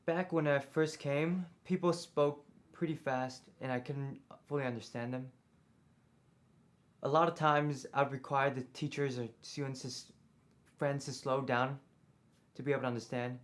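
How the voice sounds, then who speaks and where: A man's voice: medium pitch, steady tone, normal speed. A man from Taiwan, an international student, recalling his early struggles in an interview. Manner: reflective, recalls struggles with English.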